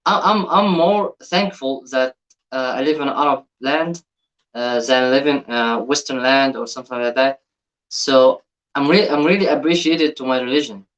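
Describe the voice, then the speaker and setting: An Egyptian man speaking English with his native Arabic accent, at a middling pitch. He talks earnestly and haltingly, circling back and repeating himself as he comments casually on a video. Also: a bit bright, rough recording. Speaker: reaction video host.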